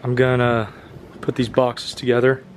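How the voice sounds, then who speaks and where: A young man with a drawl talks straight to his phone camera as he works in a home garage. He is loose, chatty and quick to poke fun at himself. Faint ambient noise hums behind him. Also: medium pitch, flat delivery. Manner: self-deprecating, chatty, talks to camera while working. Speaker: vlogger. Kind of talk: casual DIY vlog.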